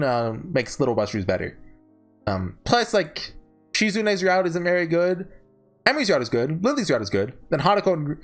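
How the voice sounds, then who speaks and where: A man giving casual, off-the-cuff ranking commentary, rambling and often backtracking mid-sentence, with emphatic, enthusiastic fan opinions. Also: fairly high pitch. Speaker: host ranking visual novels. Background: background music.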